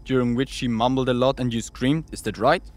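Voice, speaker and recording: A man's voice: mid-range voice, fairly even intonation, fairly quick, lightly acted. A man acting a stern, cautious crew leader in an audio drama, curt, sardonic and authoritative. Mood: sardonic, firm.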